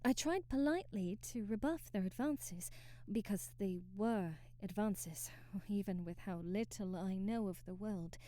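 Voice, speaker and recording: A woman's voice: medium pitch, unhurried, dark tone, no room sound, close to an everyday voice. A woman playing a timid, devoted young maid in an ASMR roleplay, speaking formally and deferentially with hesitant stammers and period-drama phrasing. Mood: hesitant, hurt.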